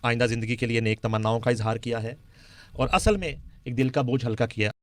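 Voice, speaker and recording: A man giving conversational celebrity commentary, often pausing mid-sentence to find his words. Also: medium pitch, a little hiss or hum. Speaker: media commentator.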